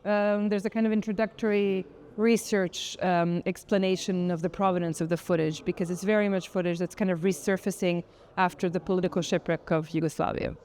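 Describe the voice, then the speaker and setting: A woman's voice: lowish voice, fairly even intonation, fairly quick, darkish tone. A woman speaking as an artist in an interview, explaining their work in an articulate, thoughtful way. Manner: articulate, thoughtful, frequent "kind of" hedging. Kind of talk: reflective interview explanation of an art project.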